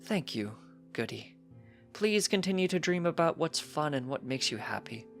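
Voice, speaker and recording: A narrator acts out a stately storybook pony princess, pitched high. She is inquisitive and deliberate, questioning formally over a music bed. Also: very animated, vocal fry. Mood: probing.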